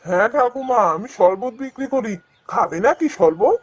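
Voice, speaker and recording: A woman's voice: a little high, lively intonation, normal speed. A woman voicing a poor, kindly, downcast male street seller in a cartoon fairy tale, with a homely, plaintive delivery. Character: poor, downcast, kindly husband who sells sherbet.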